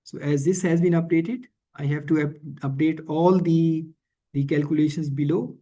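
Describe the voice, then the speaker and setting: A man teaching in a screencast tutorial, thinking aloud haltingly and often checking in with a questioning tag. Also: a little high, steady tone, mellow. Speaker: instructor. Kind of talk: screencast programming and statistics tutorial.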